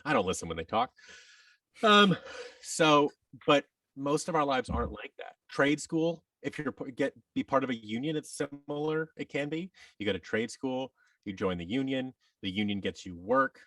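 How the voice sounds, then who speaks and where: A man's voice: medium pitch, animated, moderate pace, sharp tone, no room sound, recorded from a distance, rough recording. A man giving advice as a podcast guest in a casual, rambling, emphatic way, often voicing imagined quotes as he explains.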